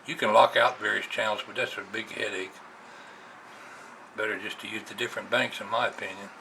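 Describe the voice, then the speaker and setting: Gravelly American man, low-pitched, noisy recording. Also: fairly even intonation, fairly quick, bright, forceful tone. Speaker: hobbyist vlogger. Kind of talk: casual explanatory monologue. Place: indoors, at a computer. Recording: noisy amateur camera recording.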